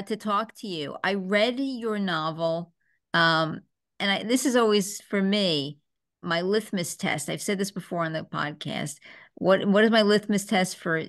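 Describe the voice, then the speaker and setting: A woman's voice: on the low side, fairly bright tone. A woman hosting a podcast in a casual, conversational interview style. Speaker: podcast host.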